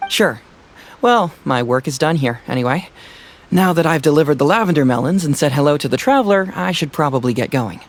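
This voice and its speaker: A man's voice: fairly high pitch, lively intonation, fairly quick, background noise, lightly acted. A man voicing a playful, self-assured young detective in a video game, airy, teasing and a little smug. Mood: breezy. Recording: music playing behind voice.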